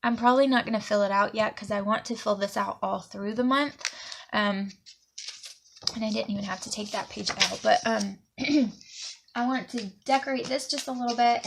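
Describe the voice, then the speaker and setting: A woman from Alabama, chatting casually while decorating a planner, sniffly, rambling and dropping to soft near-whispers as she works. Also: mid-range voice, creaky, a little hiss or hum. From Alabama, USA. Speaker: planner vlogger. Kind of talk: casual crafting talk to camera.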